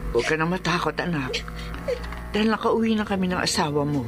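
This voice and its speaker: A woman's voice: lowish voice, expressive, fairly quick, over music, close to an everyday voice. An actress playing a worried, devout middle-aged mother in a horror radio drama, speaking earnestly and respectfully. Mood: reassuring, earnest. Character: worried devout older mother seeking a priest's help.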